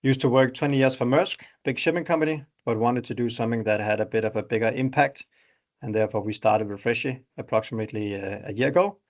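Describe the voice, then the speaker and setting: A man's voice: fast, dull audio. A middle-aged man from Denmark with a Danish accent, presenting his startup in an online webinar in a conversational, explanatory way. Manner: explanatory, conversational pitch with examples.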